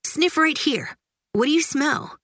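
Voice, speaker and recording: Woman voicing a seven-year-old boy, eager, earnest, high and bouncy. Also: animated, measured pace, a bit bright, dry recording, clean studio sound.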